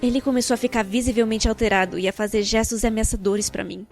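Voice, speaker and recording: A Brazilian woman narrating a scripted storytime video in an expressive, wry, colloquial way. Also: on the high side, fast. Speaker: narrator of a fan-dubbed animated storytime video. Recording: background music.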